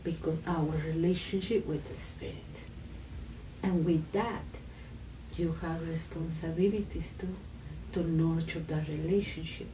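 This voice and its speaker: A woman's voice: low voice, fairly even intonation, measured pace, distant mic, noisy background. A woman from Peru, guest in a casual conversation, speaking earnest, slightly broken English.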